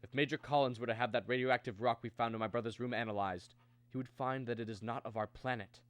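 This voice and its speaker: A man's voice: on the low side, natural voice. An actor playing a desperate, hunted man warning of invasion, with intense 1950s-style radio drama delivery. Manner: melodramatic, intense.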